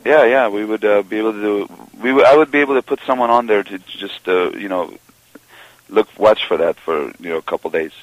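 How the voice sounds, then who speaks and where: Hesitant low-voiced man from Southern California. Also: a little fast, dry recording, lo-fi sound. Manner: hesitant, stammering, laughs easily. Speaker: prank call target. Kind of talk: casual phone chat.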